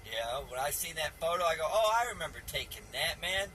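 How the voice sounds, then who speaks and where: Male, 50s. Slightly high, forceful voice, earnest rambling vlogger, faint background noise. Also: animated, quick pace. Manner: earnest, insistent, folksy, repeatedly addresses listeners as man. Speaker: video channel host. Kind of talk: rambling religious vlog monologue.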